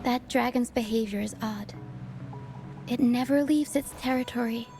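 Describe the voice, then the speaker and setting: Woman doing a young game girl, high sweet voice, gentle n helpful, bit playful, music behind. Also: normal speed, natural voice. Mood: calm, friendly. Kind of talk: video game cutscene voice acting.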